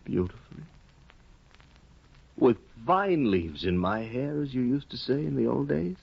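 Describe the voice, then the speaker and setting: A man's voice: medium pitch, animated, a little slow, gravelly, husky, full character voice. An actor in a 1950s radio play, voicing a despairing, ruined male scholar who broods bitterly and breaks into anguished outbursts. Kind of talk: 1950s radio drama acting. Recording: dull 1950 radio broadcast.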